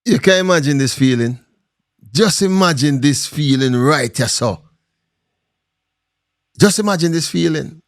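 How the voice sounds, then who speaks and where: A man's voice: a little high, expressive, a little slow, long pauses, no echo, clean studio sound. A man with a Jamaican accent giving emphatic, rhetorical commentary to the camera.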